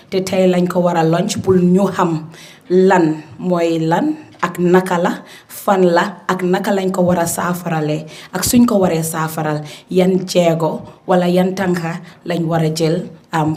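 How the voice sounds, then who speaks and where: A hoarse woman with a Gambian accent, a radio presenter moderating a formal launch event at a conference centre in an upbeat, chatty way. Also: on the low side, a little mellow. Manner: upbeat, chatty, with many fillers. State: hoarse from a flu.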